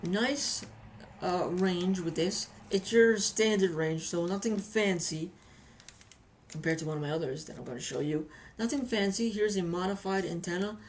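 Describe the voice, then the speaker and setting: A woman with a slightly low voice talks through a casual vlog review. She is chatty and tells things like stories, doubling back on phrases for emphasis. A faint noisy room ambience sits behind her. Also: moderate pace. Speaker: hobbyist video reviewer.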